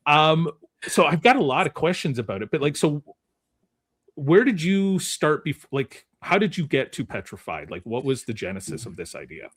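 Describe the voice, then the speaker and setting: A man's voice: on the high side, big pitch swings, moderate pace, a bit bright, husky. A man hosting a podcast in a casual, conversational style. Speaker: podcast host.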